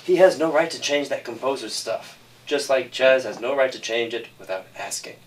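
A man's voice: mid-range voice, moderate pace, slight background noise. A man speaking insistently and explaining himself as a film director in an interview discussion. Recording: distant microphone.

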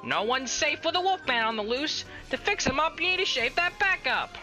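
A woman's voice: high-pitched, lively intonation, moderate pace, sharp tone, soft background music. A woman announcing a playful rhyming parody radio advertisement.

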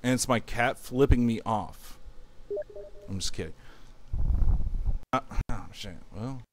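A man's voice: medium pitch, slow pace, a little hiss or hum. A man in his thirties chatting casually and rambling with self-mocking humor while he streams a game. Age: 30s. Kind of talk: casual gaming livestream chat.

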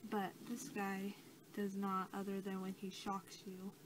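Soft, flat-toned young woman doing ASMR. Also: medium pitch. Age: young adult. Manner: gentle, halting delivery that drops into whispers. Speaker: ASMR creator role-playing a creature examiner. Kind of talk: ASMR role-play, soft-spoken. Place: small cluttered room indoors. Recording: noisy amateur home recording.

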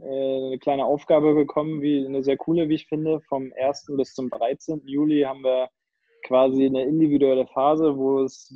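A man's voice: mid-range voice, dry recording, muffled recording. A young man from northern Germany, a handball player speaking casually on a video call through earbuds, hesitating with fillers and restarts as he thinks aloud. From northern Germany, Kiel area. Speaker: handball player, interview guest.

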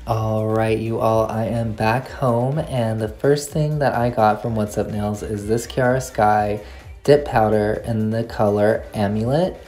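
A woman vlogging her shopping trip and haul, gushing over everything she picked up. She talks into a handheld phone camera in a store and later at her desk at home. Faint music plays softly underneath. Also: very deep voice, fairly even intonation, measured pace. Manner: gushing, enthusiastic. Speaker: nail art YouTuber. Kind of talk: casual shopping haul vlog. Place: nail supply store, then home desk, indoors.

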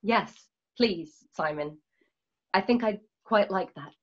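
A woman playing a calm, wise healer in a radio drama, speaking in measured, formal phrases. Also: medium pitch. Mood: calm, reflective. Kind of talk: online radio drama acting. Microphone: video call, home setup. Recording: dull lo-fi video call.

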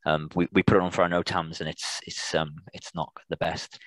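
A British man informally answering questions as a presenter on a video call, in a halting way with repeated words and restarts. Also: low voice, flat delivery, a bit bright, no room sound, rough recording. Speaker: air traffic controller, guest presenter.

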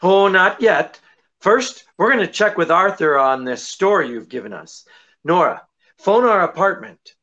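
A middle-aged man playing a jaunty, wisecracking gentleman detective husband in breezy 1940s radio comedy style. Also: high-pitched, animated, unhurried, muffled recording, heavily acted. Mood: inquisitive. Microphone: seated before camera on video call.

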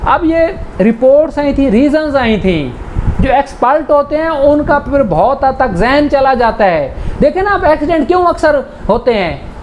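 A man's voice: extremely high pitch, sing-song, dull audio. Casting: male Pakistani law lecturer, conversational explainer, bilingual delivery, faint background noise. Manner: conversational, switches between Urdu and slowly read English. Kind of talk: informal lecture, explaining legal articles with examples.

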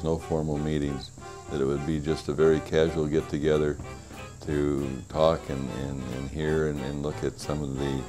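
A man in his sixties explaining his radio club in a genial way, recorded on a covered porch with a lapel mic. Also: very deep voice. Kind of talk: casual documentary interview. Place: covered porch with a stone wall.

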